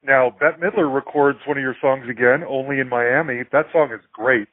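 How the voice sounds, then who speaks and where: An eager male podcast host with a slightly husky voice asks questions and throws in quick, keen reactions. The audio is dry and dull, with faint music underneath. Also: mid-range voice, no echo. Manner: enthusiastic, brief reactions. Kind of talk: interviewing.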